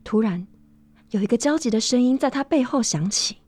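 A woman narrating a radio drama as a reflective storyteller, measured and literary. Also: fairly high pitch, lively intonation, darkish tone. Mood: reflective, storytelling. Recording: music behind the voice.

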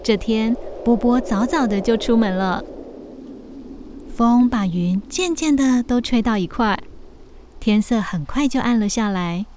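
A woman narrating a scripted children's story in an expressive storytelling manner. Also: a little high, unhurried, slight background noise.

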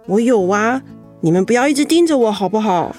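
A soft-natured young wife putting on a brave face. The voice is slightly high. The delivery is natural radio drama acting, with faint music at times. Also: female voice, expressive, brisk. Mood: mildly annoyed.

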